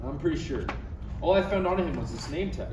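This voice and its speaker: In a noisy room, some way from the mic, a man reads a fussy Jedi master in a parody script. The voice is slightly high and animated, with a dry, admonishing delivery. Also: brisk, natural voice. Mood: flustered, reporting. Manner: admonishing, dryly exasperated. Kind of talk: comedy script table read. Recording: distant, echoey, noisy room recording.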